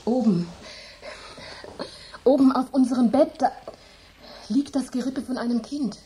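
A woman's voice: sits high, unhurried, mellow, a little hiss or hum. An actress playing a fretful, house-proud middle-aged hostess who scolds her husband, in a radio play. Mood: frightened.

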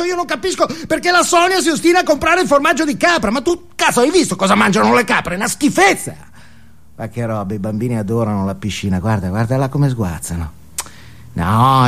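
A man's voice: high-pitched, a bit bright, pitched above the performer's own voice, exaggerated voice. A man playing a smug, irritable well-off family man showing off in a comic stage monologue, chummy and always seeking agreement. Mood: exasperated.

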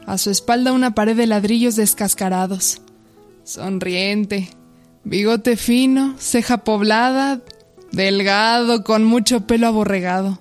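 An actress with a Mexican accent narrating a radio drama in a descriptive, scripted style. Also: female voice, mid-range voice, a little mellow, lightly acted. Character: female narrator describing an old photograph.

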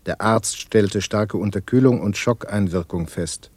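A man's voice: fairly low pitch, measured pace, a little mellow, slightly rough, no echo. An actor playing an impassive man reciting matter-of-fact, news-like statements in a radio play. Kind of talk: radio play collage, mock news bulletin.

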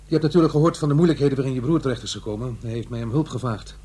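A man's voice: medium pitch, racing, mellow, husky, natural voice. An actor playing a regretful, lonely middle-aged divorced father in a radio play, speaking hesitantly and searching for words. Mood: awkward, tentative. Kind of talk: Dutch radio drama acting. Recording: noisy, humming radio play recording.

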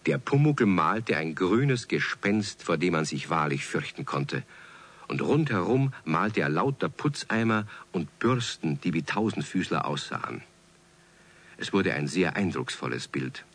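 A man playing the storyteller of a children's radio play, reading scripted narration in an expressive, genial way. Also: deep voice, a little slow, full character voice. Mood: wry storytelling.

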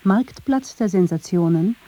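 Very dark-voiced, husky woman narrator. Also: medium pitch, lively intonation, unhurried. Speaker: narrator of a radio feature. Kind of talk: scripted documentary narration.

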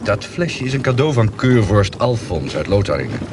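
Low-voiced roguish swindler. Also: male voice, sing-song, normal speed. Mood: reluctant. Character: roguish, wary male swindler and womaniser. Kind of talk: radio drama acting. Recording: clear background noise.

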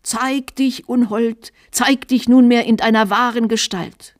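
Storybook role: fanatical old bishop, played by a woman, quavering, shrill, wheedling and commanding. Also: a little slow, no room sound, clean studio sound, natural voice. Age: older. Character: old bishop, fawningly tender, then shrieking with zealous malice.

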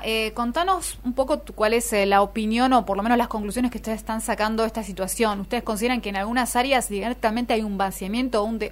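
A woman with a Rioplatense Argentine accent asking questions conversationally as a radio co-host. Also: on the high side.

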